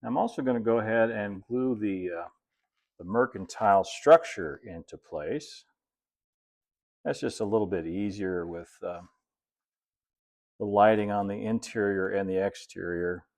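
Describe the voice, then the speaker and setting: A man, narrating a hands-on hobby tutorial in a relaxed, folksy way, as if thinking aloud while he works. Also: lowish voice, measured pace, pauses often. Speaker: hobbyist presenter of model railroad build videos.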